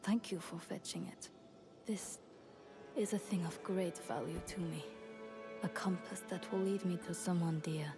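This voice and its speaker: An actress playing a gentle, reserved female warrior in a fantasy video game, speaking softly and politely with some breathiness. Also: lowish voice, fairly even intonation, a little slow. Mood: soft, earnest. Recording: game music behind the voice.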